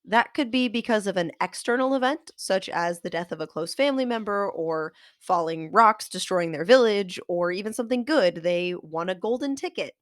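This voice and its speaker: A woman hosting a podcast lesson on fiction writing, her voice nasal and a little congested from a cold. She explains in a clear, measured, scripted way at a middle pitch. The recording is close and dry, with no room sound. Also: expressive, no echo. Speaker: podcast host and author.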